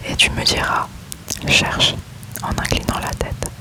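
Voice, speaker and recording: A man softly whispering love poems aloud in a close-up ASMR reading, unhurried and gentle. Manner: slow, soft unvoiced whisper throughout. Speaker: ASMR creator.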